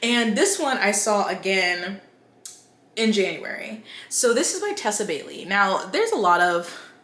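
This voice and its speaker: A woman's voice: mid-range voice, very animated, unhurried, a bit bright, roomy sound. A woman book vlogger giving casual, chatty opinion commentary with wry, incredulous asides.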